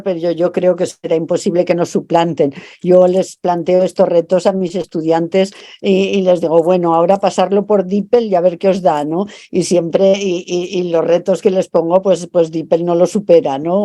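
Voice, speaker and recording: Dark-voiced Spanish woman, thoughtful. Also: fairly low pitch, no room sound. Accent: Spanish from Spain. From Spain. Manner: thoughtful, repeats words while searching for phrasing. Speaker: translator and professor. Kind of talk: interview over video call.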